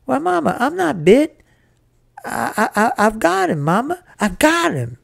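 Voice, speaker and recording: A narrator voicing an excited, earnest young farm boy in a storybook, with stammering exclamations. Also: sits high, unhurried, vocal fry, dry recording, studio quality, voice pushed up, full character voice. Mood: excited, proud.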